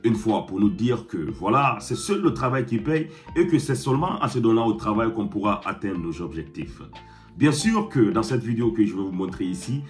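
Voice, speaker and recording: Low-voiced man giving video commentary. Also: darkish tone. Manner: measured, questioning, lecturing.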